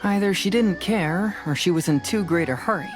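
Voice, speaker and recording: A man voicing a calm young detective in a video game dub, reasoning aloud in a deliberate way. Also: sits high, music in the background, close to an everyday voice. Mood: deducing.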